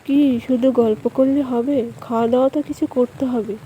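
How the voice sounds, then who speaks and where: Cartoon village mother, voiced by a woman narrator: higher-pitched, caring, gently scolding, faint noise behind. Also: steady tone, normal speed, muffled recording, close to an everyday voice. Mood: brisk, caring. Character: practical mother bringing snacks, gently scolding.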